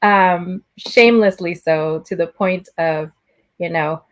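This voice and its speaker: A woman's voice: lowish voice, slow pace. A woman hosting a casual video call conversation, affirming and slipping Spanish phrases into her English. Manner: affirming, frequent "right?" tags. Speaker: host.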